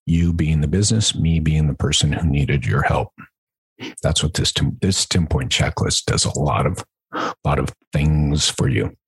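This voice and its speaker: A man's voice: very deep voice, a little slow, slightly rough, dry recording, poor audio. A man hosting an instructional podcast in a conversational way, stressing and repeating key points like a coach. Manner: emphatic, repeats key points, asks rhetorical check-in questions. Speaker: podcast host and business coach.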